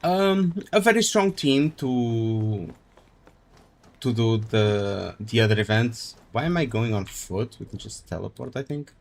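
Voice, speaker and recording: A man's voice: medium pitch, animated, unhurried, creaky, a little mellow. A man giving casual, wry live commentary as he streams a video game. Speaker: livestreamer.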